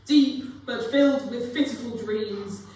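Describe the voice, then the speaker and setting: A woman's voice: fairly high pitch, slow, darkish tone. A teenage girl narrating a scripted story in a live dramatic reading. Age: teens. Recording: very echoey hall, distant mic, faint noise.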